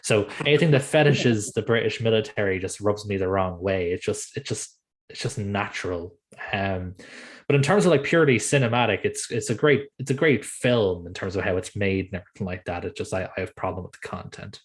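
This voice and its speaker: Man, Irish accent, low voice, film podcast host, rambling, lots of fillers. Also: animated, brisk. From Ireland. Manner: rambling, filler-heavy, chatty. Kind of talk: casual film discussion.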